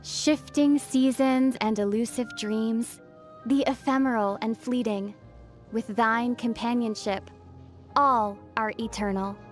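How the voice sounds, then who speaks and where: Gentle, high-voiced young female traveler. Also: steady tone, slow. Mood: wistful, reflective. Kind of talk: video game dialogue acting. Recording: faint music under the voice. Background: faint background music.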